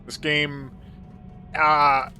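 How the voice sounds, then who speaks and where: A man's voice: a little high, level tone, very slow, bright, forceful tone, slight background noise. A man hosting a let's play, chatting casually as he plays, with playful theatrical asides. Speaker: host of a gaming channel.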